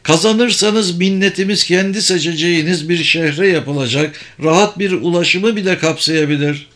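A man's voice: high voice, moderate pace, fairly bright tone, voice pushed up. A man voicing a superior, persuasive sorcerer in an audiobook, speaking formally and insistently. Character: persuasive, superior sorcerer who runs secret political games.

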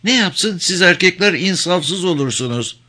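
A man voicing a vain, flirtatious, sharp-tongued married woman from a novel, coquettish and easily indignant. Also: high-pitched, lively intonation, moderate pace, pitched above the performer's own voice, heavily acted.

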